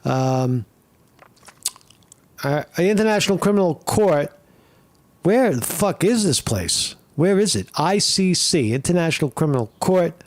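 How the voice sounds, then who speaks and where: A man's voice: a little high, expressive, a little slow, pauses often. A man giving rambling, profane commentary while watching videos, full of fillers and restarts. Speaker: commentary streamer.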